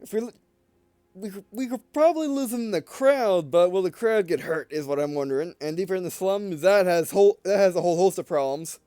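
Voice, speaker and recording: A man's voice: high-pitched, fairly quick, mellow, clean tone, no echo, studio quality. A man giving casual let's play commentary, thinking aloud with some hesitation. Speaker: game player and commentator.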